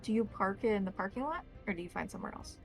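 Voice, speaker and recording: A woman's voice: medium pitch, lively intonation, very fast, vocal fry, soft background music. A woman narrating a tabletop roleplaying game as the game master, describing scenes to players.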